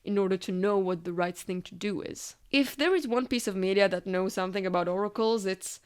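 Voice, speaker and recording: A woman narrates an analytical video essay in a mid-pitched voice. She explains ideas in a scripted, deliberate way, posing rhetorical questions and pausing for weight. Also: clean tone.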